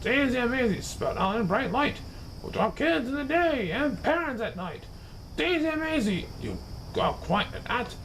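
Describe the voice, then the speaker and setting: Slick, pushy wheeler-dealer agent played by a male reader, storybook salesman patter, high and animated. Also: moderate pace, a little hiss or hum, exaggerated voice. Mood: flattering.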